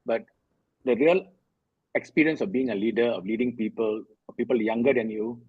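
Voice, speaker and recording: A man in his fifties from Singapore, a guest in an interview, telling his story in an earnest, measured way with many fillers. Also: medium pitch, husky, no echo, narrow, tinny audio. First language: Tamil. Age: 50s. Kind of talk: reflective conversational interview.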